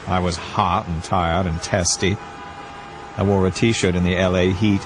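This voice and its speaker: Music plays under a low male voice. A man acts as a weary, brooding storyteller, narrating a hardboiled tale in a measured, reflective manner. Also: flat delivery.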